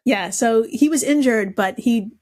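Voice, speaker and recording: A woman's voice: fairly high pitch, unhurried, a little mellow. A woman quizmaster on a comedic podcast, reading trivia questions and telling stories in a playful, casual way.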